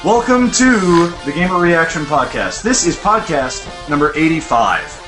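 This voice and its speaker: A man's voice: a little high, very animated, unhurried, over loud music. A man from Oregon hosting a casual gaming podcast, rambling with plenty of "um"s and restarts. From Oregon, USA. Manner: rambling, lots of fillers and restarts. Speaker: podcast host.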